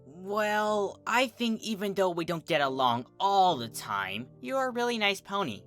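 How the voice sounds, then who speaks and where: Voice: mid-range voice, very animated, measured pace, a bit bright, soft background music, voice pushed up. A narrator voicing a brash, peppy young female pegasus pony with a casual, slangy way of talking, in a cartoon fan story. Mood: awkward. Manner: casual, slangy, hesitant about feelings.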